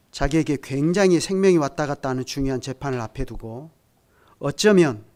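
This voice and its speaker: Measured older male pastor preaching. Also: medium pitch, darkish tone. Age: 60s. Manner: measured preaching that swells to emphatic peaks; speaks directly to the congregation with light chuckles. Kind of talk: sermon at a midweek prayer service.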